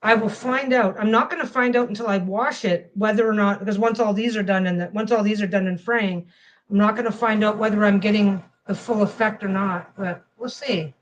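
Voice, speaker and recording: A woman talks through her quilting project at home in a loose, meandering way. She restarts her sentences again and again as her thoughts wander. Her voice sits at an ordinary middle pitch. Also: steady tone, brisk, slight background noise, rough recording. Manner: rambling, restarts phrases often. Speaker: quilting vlogger. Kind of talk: casual chat while sewing.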